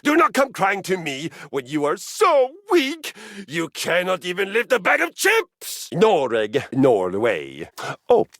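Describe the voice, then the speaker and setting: An actor playing a boastful, brutal Viking-like fitness object in a video game, grandiose and formal, with a gravelly, bellowing voice. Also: male voice, mid-range voice, a bit bright, no room sound, heavily acted. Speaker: dating game character. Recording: dry studio recording.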